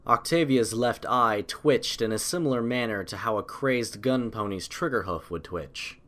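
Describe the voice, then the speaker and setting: A man's voice: mid-range voice, moderate pace, fairly bright tone. A man reading a scripted story aloud as an audiobook narrator.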